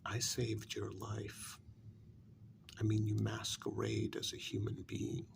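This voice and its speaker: A man playing a bitter, sarcastic kidnapper in a soft-spoken ASMR role-play, halting and half-whispered, with a gravelly voice. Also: on the low side, level tone, exaggerated voice. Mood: resentful. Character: bitter, sarcastic male kidnapper confronting a demon.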